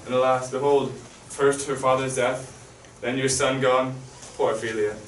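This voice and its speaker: A man's voice: medium pitch, little pitch movement, normal speed, slight background noise, natural voice. A man acting a scheming king, speaking declamatory stage verse, recorded from far off in an echoey hall. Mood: grave. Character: scheming king, smooth and commanding.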